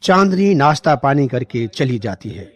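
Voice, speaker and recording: A man reads scripted story narration in a mid-pitched voice. The tone is noticeably husky, and the recording is dry and close. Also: sing-song, moderate pace, no echo.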